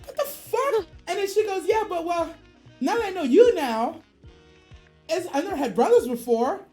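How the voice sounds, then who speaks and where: An American woman telling a story casually on a livestream, animated, profane and slangy, acting out the dialogue she quotes. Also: very high voice, normal speed. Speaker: streamer. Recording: music behind the voice. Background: music.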